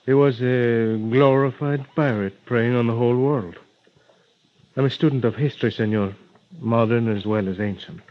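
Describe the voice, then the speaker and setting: An actor playing an earnest, grieving doctor with a Spanish accent, speaking formally and haltingly in vintage radio drama style. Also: male voice, mid-range voice, lightly acted. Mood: indignant. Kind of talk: 1940s-50s radio drama acting. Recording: dull vintage radio recording.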